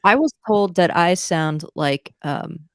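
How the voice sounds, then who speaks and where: A woman's voice: lowish voice, lively intonation, slow pace, clean tone, no room sound. The woman on a weekly baseball podcast, trading easy chat with fellow hosts on a dry, close recording. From southern United States. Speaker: co-host of a baseball talk show. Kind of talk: casual chat.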